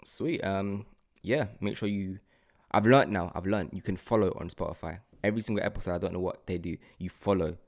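A British man with a London accent hosting a casual podcast, talking in an animated, slangy way and repeating himself for emphasis. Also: low voice, a little fast, gravelly. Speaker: podcast host.

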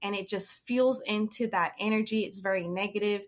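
A woman's voice: mid-range voice, steady tone, a little fast. A woman co-hosting a casual podcast chat, explaining dog training in a confident way and laughing now and then.

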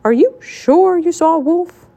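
A woman's voice: very high-pitched, measured pace, warm tone, dull audio, voice pushed up. A woman voicing a sensible, grumpy, skeptical male cartoon mouse in a children's storybook, with a stern, scolding manner. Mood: puzzled. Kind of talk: children's storybook character acting.

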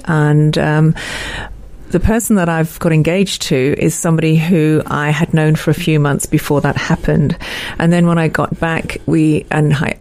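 A woman's voice: low-pitched, a little mellow. A middle-aged woman with an English accent, giving a conversational personal account as an interview guest. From born in Malaysia, lived in many countries.

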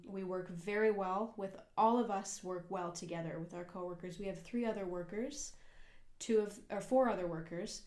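An American woman in her young adult years chats earnestly on a podcast, meandering through her points with lots of "um"s and self-corrections. Her voice sits in an ordinary middle pitch and carries a roomy echo from the space she records in. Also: a little mellow. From United States. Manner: earnest, rambling with many fillers and restarts. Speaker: podcast co-host and cafe worker. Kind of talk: casual conversational podcast. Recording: echoey room.

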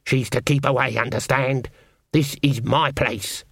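A man's voice: mid-range voice, fairly even intonation, a little slow, vocal fry, fairly bright tone, breathy edge, no room sound, clean studio sound, exaggerated voice. A man voicing a proud, terse old woman witch for a storybook, blunt and morose, with rustic grammar. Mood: menacing.

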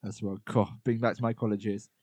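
Low-voiced man rambling in casual chat. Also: little pitch movement, fast talker, a little mellow, dry recording. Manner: rambling, full of "like" and "you know". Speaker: podcast co-host.

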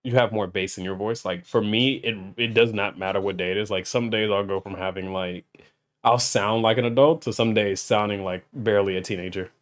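A man's voice: lowish voice, steady tone, fairly quick. A man hosting a podcast, chatting casually with a guest and laughing often. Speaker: podcast host.

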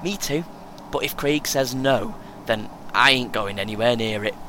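A man playing a chatty, excitable, over-prepared first-time runner in an audio play, speaking in clipped short phrases. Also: medium pitch, normal speed, natural voice. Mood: earnest, proud. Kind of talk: naturalistic audio play acting. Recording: clear background noise, vehicle ambience.

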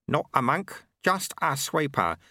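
A man voicing an ancient, calm and shrewd monk in a storybook reading, with a raised, lively voice. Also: fairly high pitch, unhurried, no room sound, clean studio sound, voice pushed up, full character voice. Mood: calm polite. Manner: polite, calm.

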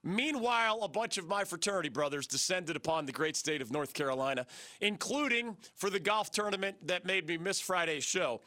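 Bright, dry-voiced American sports radio host. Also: male voice, high-pitched, animated, no echo. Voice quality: slightly gravelly, scratchy. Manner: brisk and enthusiastic, rattling off scores and names. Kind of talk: sports talk radio monologue. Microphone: studio microphone.

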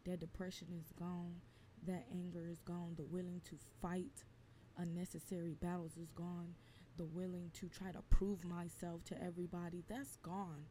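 Blunt, raspy young woman venting. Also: lowish voice, flat delivery, moderate pace, dark tone, slight background noise. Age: 20s. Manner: blunt, emphatic, profane. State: slightly raspy from lack of sleep. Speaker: podcast host. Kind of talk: casual venting monologue.

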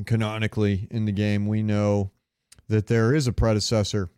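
A man's voice: on the low side, level tone, moderate pace, warm tone, clear, resonant tone, no room sound, clean studio sound. A man chatting casually and crudely as a podcast co-host, swearing freely. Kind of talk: casual banter about game sounds.

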